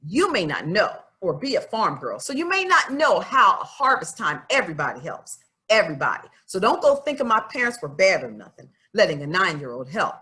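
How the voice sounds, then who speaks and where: A woman's voice: lowish voice, very animated, a little fast, bright, forceful tone. A woman voicing a stubborn, earnest farm girl of about twelve who narrates a children's storybook in a plain, folksy, confiding way.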